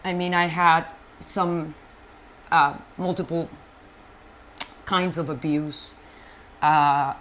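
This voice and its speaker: A woman from Colombia, a native Spanish speaker, telling her life story as an interview guest in an animated, halting way with frequent restarts. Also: low-pitched, slight background noise. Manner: animated, halting, many fillers and restarts, frank. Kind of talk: personal storytelling in conversation.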